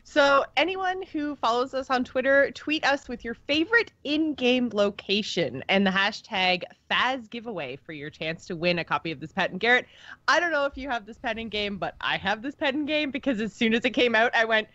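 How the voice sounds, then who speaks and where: A woman's voice: a little high, animated, moderate pace, fairly bright tone, no room sound, muffled recording. A woman co-hosting a podcast, chatting casually and with enthusiasm in an informal way. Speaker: podcast co-host. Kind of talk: casual podcast chat about gaming.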